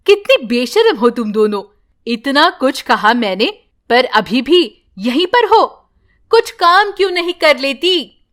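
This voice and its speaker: Woman voicing a tearful young mother, high-pitched. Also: sing-song, slow pace, fairly bright tone, exaggerated voice. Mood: scolding. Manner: scolding, then sobbing prayer. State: crying. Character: grieving young mother, harsh on the surface.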